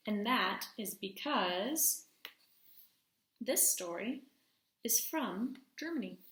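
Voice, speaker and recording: A woman teacher reading a storybook aloud to children, expressively, pausing to put questions to them. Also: medium pitch, a bit bright, a little hiss or hum. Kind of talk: storybook read-aloud with class discussion. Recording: echoey room.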